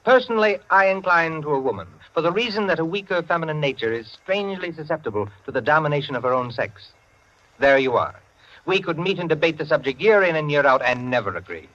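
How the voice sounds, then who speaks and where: A pompous clubman storyteller lectures his listeners with condescending relish in a 1940s radio play. His pitch moves with lively rises and falls. The old broadcast sounds dull and muffled. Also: quick pace.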